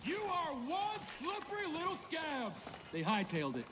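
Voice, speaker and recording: A menacing male raider who taunts his prey, in a very high, swooping voice. His lines come through as in-game dialogue under loud game noise. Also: wildly swooping pitch, moderate pace, full character voice. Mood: taunting.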